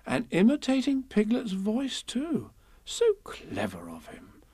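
A man voicing a cheerful, motherly female kangaroo who speaks in a soothing, gently coaxing sing-song. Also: high-pitched, huge pitch swings, takes it slowly, husky, no room sound, clean studio sound, voice pushed up, exaggerated voice.

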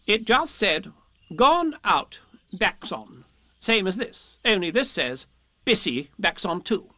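A man voicing a brisk, self-important male rabbit in a children's story, curt and insistent in manner. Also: sits high, very animated, bright, forceful tone, no echo, pitched above the performer's own voice, exaggerated voice.

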